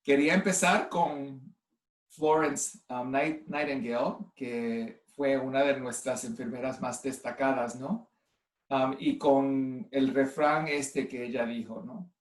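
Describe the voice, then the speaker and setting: Halting man with a mixed Spanish accent. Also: medium pitch, fairly even intonation. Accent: mixed Spanish with a Puerto Rican base. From United States. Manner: halting, with many restarts and stray English words. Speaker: nurse and clinical informatics specialist. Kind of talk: online conference presentation. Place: room at home. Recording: roomy home room.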